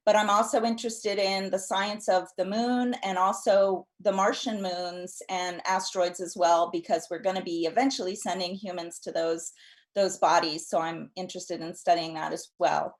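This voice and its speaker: A woman geologist introducing herself on a panel, pausing and restarting often. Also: mid-range voice. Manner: hesitant, many restarts.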